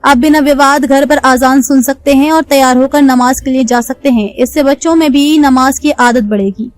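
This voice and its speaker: A woman's voice: high voice, fairly even intonation, normal speed. A woman reading a scripted news report as a narrator.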